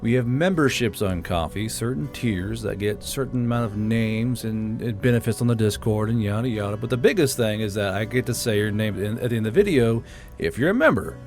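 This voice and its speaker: A man narrating an audiobook in a plain, unhurried way, then casually thanking channel supporters. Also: on the low side, darkish tone, soft background music. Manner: plain, unhurried storytelling; casual and blunt outro.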